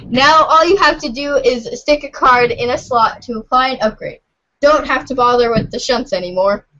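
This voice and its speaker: Woman, very high voice, playful mock-serious narrator, amateur script reading, slightly muffled sound. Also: moderate pace, bright, forceful tone. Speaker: narrator, regular of the show. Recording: muffled lo-fi home recording.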